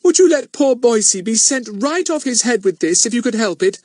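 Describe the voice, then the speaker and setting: A man's voice: extremely high pitch, animated, normal speed, a little mellow, no room sound, studio quality, pitched above the performer's own voice. A man voicing a distraught, indignant young woman in a storybook narration. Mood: desperate pleading.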